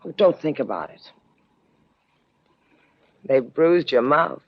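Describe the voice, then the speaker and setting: Low-voiced, wry woman sailor. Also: big pitch swings, slow pace, slightly rough, exaggerated voice. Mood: tender, concerned. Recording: dull vintage 1950s radio recording.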